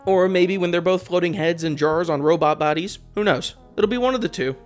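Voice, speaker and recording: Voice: medium pitch, a little fast, soft background music. A video essayist giving sarcastic, exasperated, opinionated commentary on a video game. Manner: sarcastic, exasperated, swearing rant. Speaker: video essayist, channel host.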